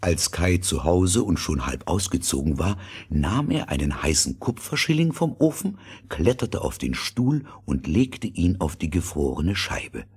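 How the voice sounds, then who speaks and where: A man narrating a fairy tale as a measured, expressive storyteller with a deep husky voice. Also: exaggerated voice. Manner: deliberate, expressive.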